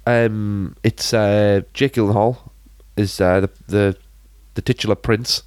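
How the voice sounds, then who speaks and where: A man's voice: on the low side, fairly even intonation, slow, a little mellow, clean tone, dry recording, studio quality. A British man co-hosting a podcast, chatting casually about films in an informal, rambling and blunt way. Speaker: podcast co-host and film reviewer. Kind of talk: casual film chat.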